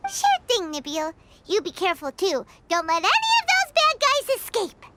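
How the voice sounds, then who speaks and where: A woman voicing a tiny, childlike fairy companion in a video game, bubbly, curious and excitable, with a squeaky delivery. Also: normal speed, very noisy, exaggerated voice. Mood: cheerful, caring. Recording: loud music over the voice.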